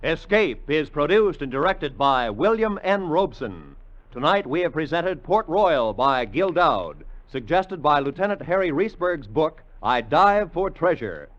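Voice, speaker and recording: A man's voice: fairly high pitch, very animated, measured pace, a bit bright. A man with an American accent announcing formally and emphatically from a script, in 1950 radio style. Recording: muffled vintage radio recording, faint music.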